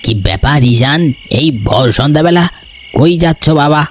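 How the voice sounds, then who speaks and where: A man's voice: fairly high pitch, animated, measured pace, slight background noise. A man voicing a protective, authoritative older village landlord and father in a cartoon. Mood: worried. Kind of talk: dramatized cartoon dialogue.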